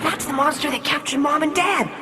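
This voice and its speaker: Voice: sits high, fairly quick, music in the background, lightly acted. A performer playing a brave, earnest young boy in a dramatic read-along storybook adventure. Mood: urgent.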